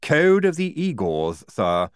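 A man voicing a calm young woman field surgeon with a slight lisp for an audiobook, slow, polite and matter-of-fact. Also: fairly high pitch, clean tone, no room sound, studio quality, voice pushed up. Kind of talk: audiobook character voice.

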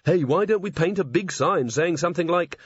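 A man's voice: on the high side, brisk, breathy edge, dry recording, pitched above the performer's own voice. A man voicing an earnest, cheerfully oblivious young male watchman. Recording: slightly dull lo-fi audio.

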